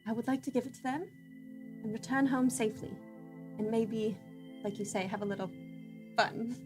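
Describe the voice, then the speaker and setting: A woman's voice: a little high, normal speed, music in the background, close to an everyday voice. A woman playing a sheltered young princess in a tabletop roleplay, polite, guarded and a little hesitant. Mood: gentle, polite.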